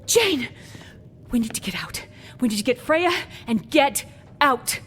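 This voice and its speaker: A woman's voice: fairly high pitch, big pitch swings, unhurried, fairly bright tone. An actress playing a distraught, insistent woman doctor warning townspeople in a video game drama. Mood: desperate. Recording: echoey hall with background noise.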